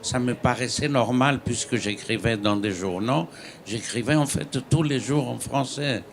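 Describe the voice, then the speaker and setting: A man's voice: mid-range voice, creaky, a little hiss or hum. A man who is a native Greek speaker, a writer on a conference panel who talks in a reflective way and often asks for agreement. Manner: slow, reflective, asks "n'est-ce pas".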